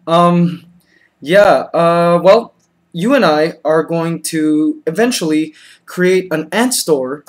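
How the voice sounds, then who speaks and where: A man's voice: a little high, slow pace. A man in his thirties talking in a comedy vlog, patient and laughing, recorded close on a webcam in a small bedroom. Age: 30s. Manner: patient then exasperated, laughing. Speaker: comedy vlogger.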